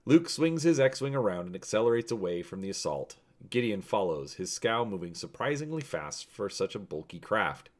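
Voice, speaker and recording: A male host with a low-pitched voice narrates an adventure story. His delivery is that of a dramatic read-aloud from a storybook. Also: big pitch swings, moderate pace, few pauses.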